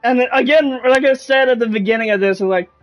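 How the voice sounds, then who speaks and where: A woman's voice: on the high side, a little fast, poor audio. A woman streamer giving casual let's-play commentary on a game. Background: game music.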